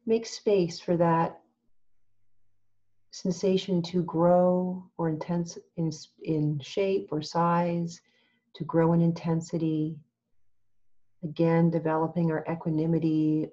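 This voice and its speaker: Female meditation guide, lowish soft-edged voice, very unhurried and calm, slightly dull lo-fi recording. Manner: calm, gentle, measured with long pauses. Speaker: meditation teacher.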